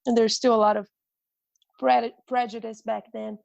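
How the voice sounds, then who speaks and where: Woman, Brazilian accent, gravelly, flat delivery, hesitant, dry recording. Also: a little high, moderate pace, no echo, lo-fi sound. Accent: Brazilian Portuguese. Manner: hesitant, many ums and restarts. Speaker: strength and conditioning coach. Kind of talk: guest telling her life story.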